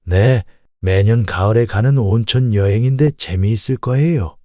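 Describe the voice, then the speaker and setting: A man's voice: on the low side, moderate pace, warm tone, dry recording, studio quality, close to an everyday voice. A man voicing a hearty, inviting market vendor and neighbor in an audio drama. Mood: friendly.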